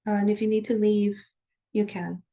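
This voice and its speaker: A woman teaching shamanic practice, leading a guided journey meditation over a video call, calm and slow when guiding and chatty when explaining. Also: medium pitch, lo-fi sound. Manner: chatty and candid when explaining, with soft laughs.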